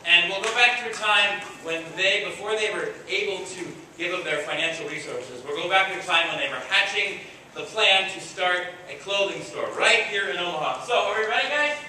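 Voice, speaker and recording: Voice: medium pitch, pushed and bright. A host narrating a live children's school play, encouraging and directing the young performers line by line. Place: large echoey room at a school event. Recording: echoey noisy hall, heard from far off.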